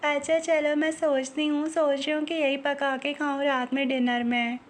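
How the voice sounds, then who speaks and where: High-pitched woman, very even intonation. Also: moderate pace. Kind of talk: casual phone-call small talk, spoken as one side of a call.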